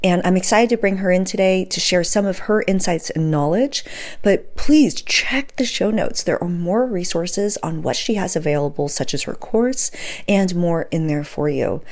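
A woman's voice: lowish voice, normal speed, darkish tone. A middle-aged woman hosting a podcast in an enthusiastic, informal way, speaking close to a desk mic. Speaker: podcast host. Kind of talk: casual interview and podcast intro. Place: indoor room at home. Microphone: close desk microphone with fluffy windscreen.

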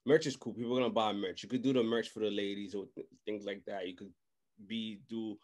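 A young man in a casual chat, giving advice in an animated, slangy way and often repeating phrases. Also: lowish voice, brisk. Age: young adult. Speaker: podcast host and music industry adviser. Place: small indoor room, video call. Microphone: desk microphone, close.

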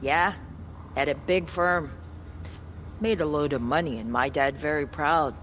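A woman's voice: low voice, measured pace, a bit bright, a little hiss or hum. An actress playing a blunt, spiritual, resolute woman survivor in a video game cutscene, with a matter-of-fact delivery. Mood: frank, defiant.

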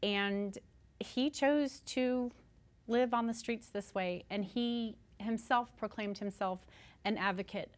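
A woman's voice: on the high side, slow. A middle-aged woman speaking earnestly and emphatically as an interview guest. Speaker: guest author and homeless advocate. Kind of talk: conversational TV interview. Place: furnished living-room style TV studio set.

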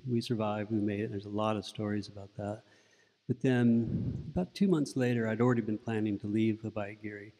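Soft-spoken middle-aged monk, deep mellow voice. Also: male voice, big-room sound. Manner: relaxed storytelling with frequent restarts. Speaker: Buddhist monk giving a talk. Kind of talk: informal dharma talk. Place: indoor meditation room with paper screens. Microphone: stand mic close in front.

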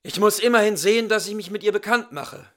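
A man's voice: high-pitched, fast talker, voice pushed up, close to an everyday voice. A man voicing a smitten, earnest toy tin soldier who muses to himself in a storybook reading. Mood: smitten, resolute. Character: steadfast one-legged tin soldier, smitten young male toy. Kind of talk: storybook character thoughts in a fairy-tale reading.

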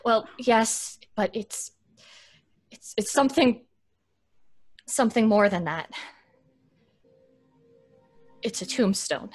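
A woman's voice: a little high, expressive, takes it slowly, soft background music. A woman playing a sensible, schoolteacherly leader of younger friends, explaining things properly in old-time radio drama style. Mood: shaken.

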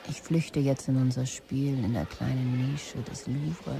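A woman playing a weary, proud, lovelorn company boss in a radio drama, brooding and dramatic, with a low creaky voice. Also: steady tone, unhurried, background noise, full character voice. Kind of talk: radio drama, dictated messages.

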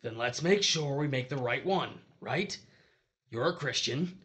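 An actor playing the pleading spirit of a dead husband, insistent and argumentative, in an audio drama. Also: male voice, mid-range voice, animated, a little slow, fairly bright tone.

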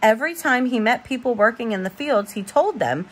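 Woman, parent at storytime, animated intonation, a touch husky, homely and informal read-aloud. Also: on the high side, fairly quick. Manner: expressive, informal, stumbles over words. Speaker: parent reading a bedtime story.

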